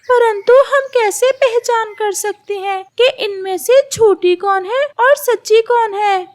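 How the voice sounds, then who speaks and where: A woman's voice: very high-pitched, slow, darkish tone, pitched above the performer's own voice. A woman voicing a stern cartoon forest bird who judges disputes in an authoritative manner. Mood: firm, deliberating.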